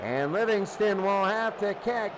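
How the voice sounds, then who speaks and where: A high-voiced man does live play-by-play for a football game with an excitable delivery. He follows each down over a clearly noisy recording. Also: a little slow, a crowd in the background. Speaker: play-by-play announcer. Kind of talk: live American football commentary.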